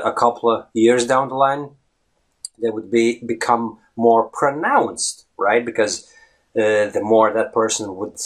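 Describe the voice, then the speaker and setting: A man in his thirties, a native Latvian speaker, talking to camera as an English fluency coach in a conversational way, often checking in with a quick "right?". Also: fairly low pitch, husky. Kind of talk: talking-head video lesson. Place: indoors in front of a green screen. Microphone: close camera, head-and-shoulders framing.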